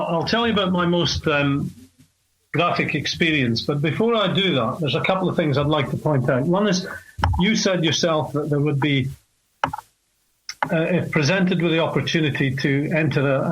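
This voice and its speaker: A Scottish man in his sixties, calling in to a radio talk show and speaking in a measured, hesitant way with many fillers. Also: fairly high pitch, slight background noise, poor audio. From north of Scotland. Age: 60s. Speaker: caller.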